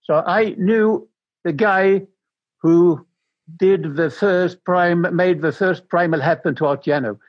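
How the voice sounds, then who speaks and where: An older man from Sweden with a Swedish accent, speaking English as a non-native and recounting his life in a halting way, repeating words as he searches for them. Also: sits high, dry recording, dull audio. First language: Swedish and Danish. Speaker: interview guest.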